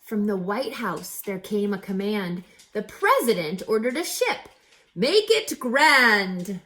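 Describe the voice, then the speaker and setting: Woman reading aloud with lively, swooping intonation. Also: medium pitch. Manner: dramatic, emphatic, pauses for rhetorical questions to listeners. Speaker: reading teacher reading a picture book aloud. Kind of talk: expressive children's read-aloud with teacherly asides.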